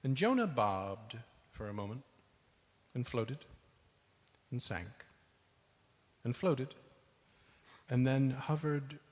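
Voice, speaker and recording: A man giving a dramatic spoken word recitation in measured, broken-up phrases. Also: medium pitch, animated. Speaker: reader in a staged ensemble performance. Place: large synagogue hall, live performance. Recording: reverberant hall.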